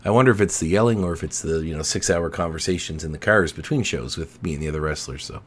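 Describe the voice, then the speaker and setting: A man hosting a podcast in a relaxed, rambling chat, his baritone voice a little hoarse. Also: fast talker. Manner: laid-back, filler-heavy rambling. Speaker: podcast host.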